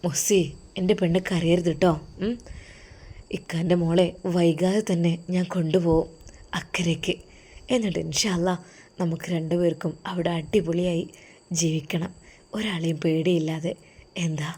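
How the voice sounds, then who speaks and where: A woman voicing a gentle young husband who speaks softly and comfortingly to his wife. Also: moderate pace, pauses often, lightly acted. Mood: soothing. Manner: soft, low and comforting.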